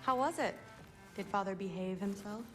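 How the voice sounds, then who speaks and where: A woman's voice: mid-range voice, normal speed, faint music behind, close to an everyday voice. An actress playing a friendly, teasing teenage girl in a video game, casual and eager. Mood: friendly, playful.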